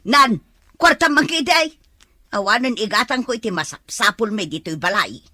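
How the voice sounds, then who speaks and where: A woman plays an older mother fond of drinking and gambling. She defends herself in a whining, bright, tense voice. The sound is close and dry. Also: on the high side, lively intonation, normal speed, dry recording. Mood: defensive.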